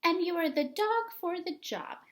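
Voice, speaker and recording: A woman's voice: very high voice, lively intonation, moderate pace, fairly bright tone, pitched above the performer's own voice. A woman voicing a small male chihuahua in a picture book, earnest and dramatic, with emphatic delivery. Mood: matter-of-fact.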